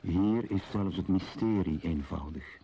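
An actor playing a grave man pondering mystery, solemn and deliberate, in a poetic radio play. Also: low voice, dark tone, a little hiss or hum, rough recording.

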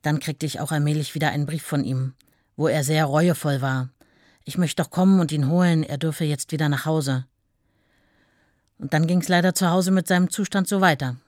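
An actress playing a writer's steady, long-suffering wife, telling of her marriage plainly and reflectively in a radio play. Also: deep voice, normal speed, no echo, clean studio sound, lightly acted. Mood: troubled recollection.

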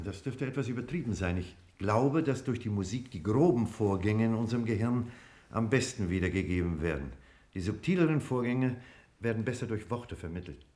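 An actor playing a learned, analytical scientist in a 1950s radio play, speaking in a measured, didactic way. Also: male voice, fairly low pitch, a little mellow, natural voice. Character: learned male scientist and narrator, sober and analytical.

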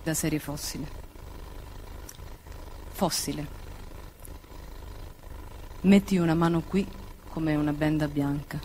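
A woman giving a quiet, measured, restrained reading of poetry. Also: low voice, fairly even intonation, a little hiss or hum. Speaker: poet reading own work.

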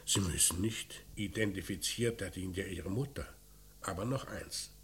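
An actor playing a probing, dry police commissioner in a crime radio play, with a measured way of questioning. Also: male voice, sits low, a bit bright, a little hiss or hum. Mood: gently probing. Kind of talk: German radio drama acting.

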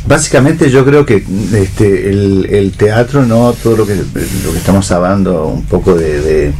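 A man speaks in a radio panel discussion. He is hesitant, pausing and circling as he builds his point. A little background noise sits behind him. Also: sits low, warm tone. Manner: hesitant, drawn-out pauses and filler words.

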